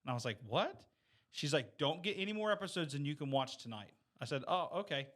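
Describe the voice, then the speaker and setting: A man with a bright, slightly high voice chats in a casual, anecdotal way. He sounds informal, slipping easily into reported dialogue. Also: lively intonation, quick pace. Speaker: podcast panelist.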